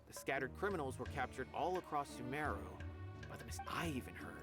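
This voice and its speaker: A man's voice: mid-range voice, expressive, fairly quick, lightly acted. A man voicing an earnest, polite young guard in video game story dialogue. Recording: game music behind the voice.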